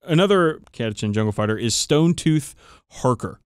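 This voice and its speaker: A man's voice: medium pitch, expressive, normal speed, clear, resonant tone, dry recording, clean studio sound. A man hosting a podcast, casually telling tabletop game lore with sweary enthusiasm. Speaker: podcast host. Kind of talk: casual chat about tabletop wargame lore.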